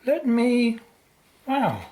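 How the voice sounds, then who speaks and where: Terse son, a patient in a radio drama, slow halting bits of speech, pitch moves around. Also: female voice, very animated, mellow, heavily acted. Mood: amazed.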